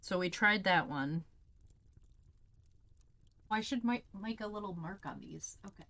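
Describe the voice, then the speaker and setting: A woman's voice: mid-range voice, measured pace, bright, forceful tone, dry recording. A woman hosting a livestream, chatting casually as she tastes snacks, in a candid, exclamatory way with plenty of swearing. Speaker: livestream host. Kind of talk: casual chat while taste-testing snacks.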